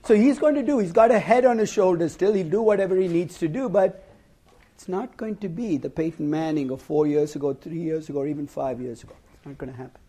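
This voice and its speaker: A middle-aged man lecturing a class on finance, husky from losing his voice, wry and conversational, putting questions to his students. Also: a little high, fast talker, dark tone. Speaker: finance professor. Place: lecture hall.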